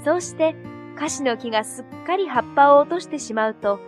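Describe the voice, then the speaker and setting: High-voiced woman narrating a children's story. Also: animated, fairly quick. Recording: soft music behind.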